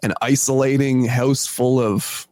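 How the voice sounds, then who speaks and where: A man's voice: medium pitch, steady tone, a little slow, darkish tone, no room sound. A Canadian man co-hosting a podcast in casual chat, congested with a cold, often repeating his phrases. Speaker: podcast co-host.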